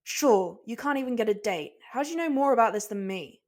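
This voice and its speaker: Gruff young male swordsman, played by a woman: blunt, stubborn, grumbling, quick to scorn. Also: on the high side, lively intonation, darkish tone, natural voice. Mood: scornful taunting.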